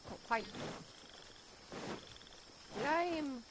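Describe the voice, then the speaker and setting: A woman streaming a video game, making relaxed, quiet comments to herself as she plays. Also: sits high, drawn-out words, background noise. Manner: relaxed, muttering asides to herself. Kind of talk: casual gameplay commentary. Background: game music.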